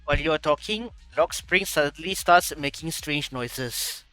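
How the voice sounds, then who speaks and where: Bright-voiced man playing a game. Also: a little high, a little slow, no echo, soft background music. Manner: casual, reads dialogue and narration aloud. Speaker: gaming streamer. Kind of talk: game playthrough commentary, reading text aloud.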